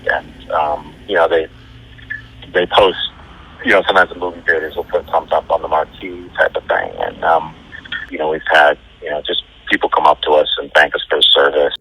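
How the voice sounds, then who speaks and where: A man, a firefighter, answering interview questions in a halting, plainspoken way. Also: very deep voice, gravelly, sharp tone, breathy edge, low-quality recording. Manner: halting, fillers and restarts, plainspoken.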